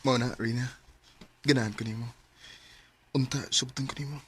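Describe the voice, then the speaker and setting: A man's voice: mid-range voice, fairly even intonation, moderate pace, natural voice. Man playing eager young suitor, noisy backdrop. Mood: earnest, pressing. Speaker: radio drama actor. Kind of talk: radio drama acting.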